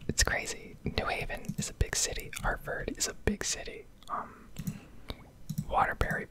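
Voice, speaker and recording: A woman in her early twenties from Connecticut with an American accent, rambling casually in a whispered ASMR style. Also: rough recording. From Connecticut, United States. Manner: casual, rambling, occasional swearing. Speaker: ASMR gaming video creator.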